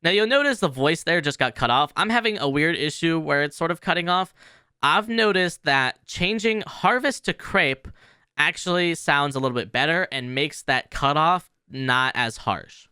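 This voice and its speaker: Casting: male tutorial host, crisp clear voice, animated intonation, informal explainer style, dry close sound. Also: fairly high pitch, moderate pace, no room sound. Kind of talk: casual software tutorial narration.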